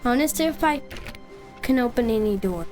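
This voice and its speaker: Higher-voiced woman chatting thru a game, music faint behind. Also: lively intonation, fairly quick, a little mellow. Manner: chatty, narrates her own moves. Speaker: co-host playing the game. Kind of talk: casual gameplay chat.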